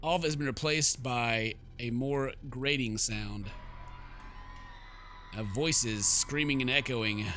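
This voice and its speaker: A man hosting a game playthrough, mixing jokey commentary with theatrical read-aloud narration in an animated manner. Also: mid-range voice, slow, a little hiss or hum. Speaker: gaming video host.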